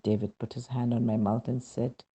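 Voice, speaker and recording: A reader narrating a devotional testimony from a script, with a husky, gravelly voice and a dramatic, emphatic, deliberately phrased delivery. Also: mid-range voice, flat delivery, warm tone.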